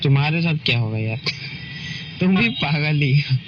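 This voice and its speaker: A man's voice: on the high side, lively intonation, moderate pace. Man chatting on a noisy phone call. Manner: often ends remarks seeking agreement. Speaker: partner in a private couple's phone call. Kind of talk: casual intimate phone chat.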